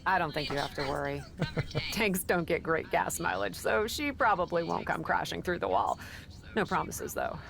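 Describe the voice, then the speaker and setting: An actress playing a young pro wrestler in a video game, offhand and breezy with a defensive edge. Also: female voice, fairly low pitch, moderate pace, creaky, a bit bright. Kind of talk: casual video game cutscene dialogue.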